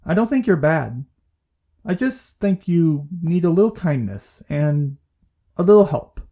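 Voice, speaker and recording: A man playing a calm, supportive therapist in an audio drama, speaking in a measured, gently firm way. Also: on the high side, heavily acted. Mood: gently reassuring. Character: calm, reassuring male therapist. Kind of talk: audio play dialogue.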